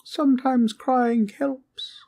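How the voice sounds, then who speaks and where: A woman playing a sweet, innocent talking teddy bear in a comfort roleplay, speaking in a gentle, quaint, reassuring way. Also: high voice, steady tone, very slow, creaky, exaggerated voice. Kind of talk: roleplay comfort audio acting.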